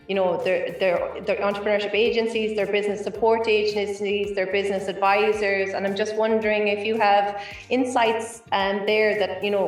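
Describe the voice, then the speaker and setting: A woman moderator asks gentle, hedged questions in an even, flat tone. She is heard from a distance in a large, booming room. Faint music plays behind her. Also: very echoey. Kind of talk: panel moderation.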